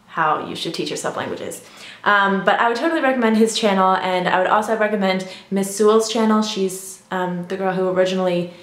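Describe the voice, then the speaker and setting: A young woman vlogging casually about learning languages, chatty and full of ums and you-knows. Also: on the low side. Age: young adult. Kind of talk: casual vlog talk. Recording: echoey room.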